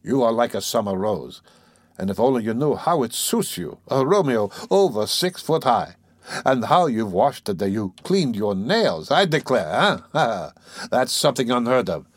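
A man's voice: mid-range voice, very animated, measured pace, no room sound. A man playing an irritable, scheming young man, sardonic and brooding, in an audiobook of a classic novel. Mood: sly teasing. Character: irritable, scheming young former law student, mocking and anxious.

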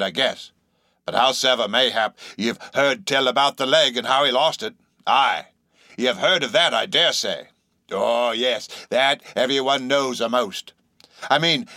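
A man's voice: medium pitch, bright, forceful tone, no room sound, clean studio sound, voice pushed up. A man voicing a shabby, insanely earnest old sailor in an audiobook, speaking abruptly with nervous emphasis and derisive, cryptic questions. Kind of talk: audiobook character acting.

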